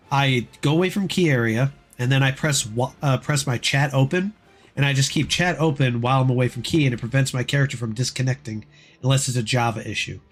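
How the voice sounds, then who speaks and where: A man chatting casually on a gameplay livestream, rambling through game steps, his voice dry and hoarse as if he is losing it. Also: mid-range voice. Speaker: game streamer.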